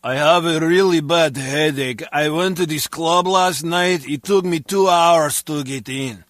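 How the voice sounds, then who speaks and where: A man's voice: on the high side, unhurried, a bit bright, dry recording. An actor playing an earnest, comically overdramatic henchman in a video game. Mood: pained. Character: earnest, comically overdramatic male henchman, long-suffering music lover. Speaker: crewman.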